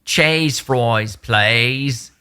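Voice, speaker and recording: A man from the American Midwest hosting a casual podcast, upbeat and quick to laugh. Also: medium pitch, sharp tone, a little hiss or hum. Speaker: podcast host.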